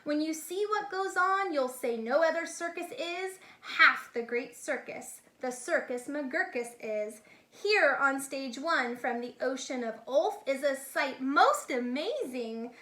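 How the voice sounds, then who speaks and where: A woman's voice: high voice, bright, forceful tone, full character voice. A woman voicing a boastful, eager young boy who plays circus showman in a rhyming storybook, with grandiose singsong delivery. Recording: echoey, reverberant room sound.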